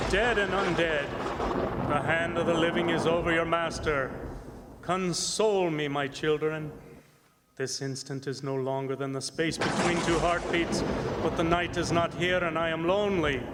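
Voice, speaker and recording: An undead vampire count chants with slow, seductive menace, drawing out his words in a fairly high male voice. It is radio horror theatre, with loud rumbling noise all around him. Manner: slow, incantatory, drawn-out words. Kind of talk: radio horror drama acting.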